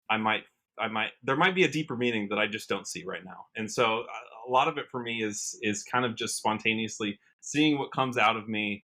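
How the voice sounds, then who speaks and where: A man's voice: medium pitch, pushed and bright. An American man giving a casual podcast interview as a musician guest, talking reflectively and thinking aloud as he goes. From Washington state, United States. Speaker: guest, country singer-songwriter.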